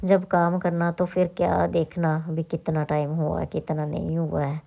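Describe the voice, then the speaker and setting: Salon lady vlogging her day, mellow warm voice, chatty, bit of a sore throat. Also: on the low side, level tone, moderate pace, vocal fry. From Himachal Pradesh, India. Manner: chatty, rambling, self-correcting. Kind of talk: casual vlog narration.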